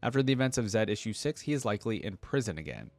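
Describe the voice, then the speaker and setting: A man's voice: on the low side, very animated, racing, darkish tone, no echo. A man hosting a reaction video, reading aloud and musing casually with interjections.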